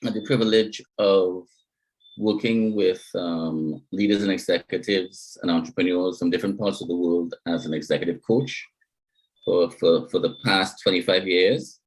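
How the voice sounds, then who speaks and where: A middle-aged man, an executive coach, introducing himself on a panel in a reflective, measured way over a video call. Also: sits low, fairly even intonation, husky, rough recording.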